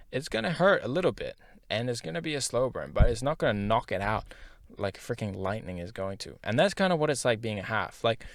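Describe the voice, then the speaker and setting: A man's voice: lowish voice, no echo, studio quality. A young man telling his own story in a casual, candid monologue, with slang and filler words. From half Japanese, schooled in Singapore.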